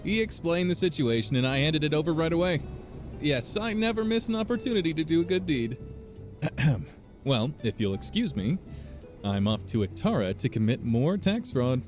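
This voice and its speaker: An actor playing a smooth, self-satisfied shady gentleman in a video game, with a genteel, theatrical manner. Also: on the high side, big pitch swings, moderate pace, soft background music. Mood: smug.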